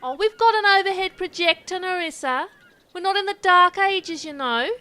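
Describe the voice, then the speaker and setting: A woman playing a brash, dim, indignant Australian show presenter in an audio comedy, loud and matey. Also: very high voice, lively intonation, normal speed, heavily acted.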